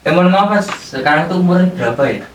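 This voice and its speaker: A man's voice: high voice, expressive, very fast. Echoey, distant male podcast host. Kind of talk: casual chatty interviewing. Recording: echoey and distant, faint noise.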